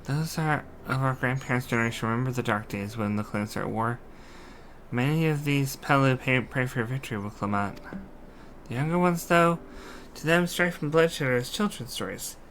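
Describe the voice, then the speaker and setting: A man voicing a thoughtful villager in a fantasy video game, explaining things in a measured way. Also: medium pitch, animated, fairly bright tone, a little hiss or hum. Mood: grave, reflective. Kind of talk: amateur character voicing of game dialogue.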